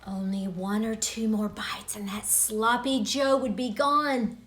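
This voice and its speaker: A woman reading a story for children in an expressive way, as a storyteller speaking directly to young listeners. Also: a little high, slow, faint music behind.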